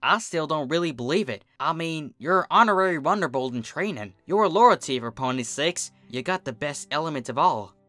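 A man's voice: on the high side, expressive, normal speed, few pauses, pushed and bright, no echo, faint music behind, voice pushed up. A man voicing a humble, kindly female farm pony. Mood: humble, doubtful. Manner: folksy, soothing, plain-spoken.

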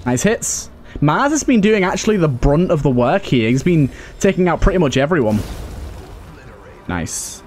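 A man's voice: fairly high pitch, very animated, normal speed, pauses often, a little mellow, soft background music. A man giving casual, informal let's play commentary, thinking aloud with some mild swearing. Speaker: gaming video host.

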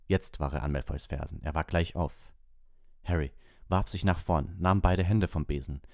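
Very deep, mellow male reader. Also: dry recording, studio quality. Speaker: audiobook reader and livestream host. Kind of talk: story narration and casual livestream chat.

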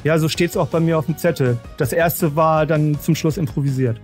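A man with a slightly high voice hosts a podcast. He talks in a casual, conversational way. Light music plays behind him. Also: steady tone, normal speed. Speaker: podcast host. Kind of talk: casual podcast chat and presenting.

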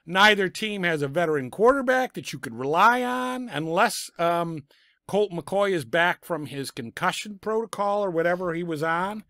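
A middle-aged man with a raspy voice from a lingering cough, giving blunt, sarcastic football picks from a small home room on a desk microphone. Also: sits high, animated, moderate pace, bright, forceful tone, no echo, studio quality. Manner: blunt, sarcastic about teams and coaches. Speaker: sports picks vlogger. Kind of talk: casual football picks commentary. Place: small home room full of sports memorabilia. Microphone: desk microphone in front of him.

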